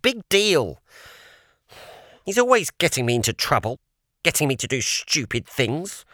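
A man's voice: mid-range voice, pushed and bright, no echo, clean studio sound. A man voicing a frightened young boy who whines and complains. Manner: complaining, upset. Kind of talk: audiobook character voice.

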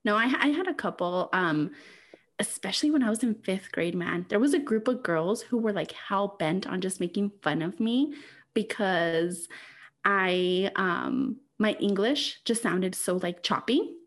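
A woman's voice: mid-range voice, lively intonation, a little slow. A woman whose first language is Spanish, co-hosting a podcast in casual chat and laughing often. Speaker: podcast co-host.

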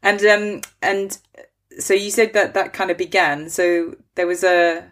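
A woman's voice: fairly low pitch, fairly even intonation, measured pace. Chatty woman podcast host with corny jokes. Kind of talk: casual conversational interview.

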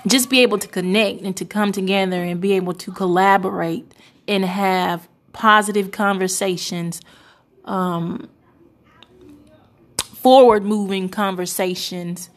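Young woman in her twenties, earnest, hesitant, mid pitch. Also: fairly even intonation, measured pace. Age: 20s. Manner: earnest, hesitant with many fillers. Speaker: pastor's wife and church first lady. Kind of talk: interview answer, casual and reflective.

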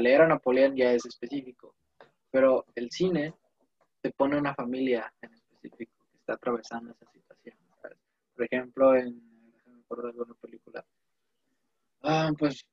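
A man's voice: medium pitch, gravelly, dry recording. Young Mexican man, casual slangy talker, rambling storyteller; dry, slightly dull lo-fi audio. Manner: slangy, digressive, animated storytelling. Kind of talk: casual chat.

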